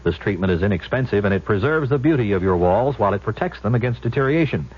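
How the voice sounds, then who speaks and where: A man's voice: lowish voice, expressive, fast, husky. A man reading a scripted radio advertisement as an announcer. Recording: muffled old radio recording.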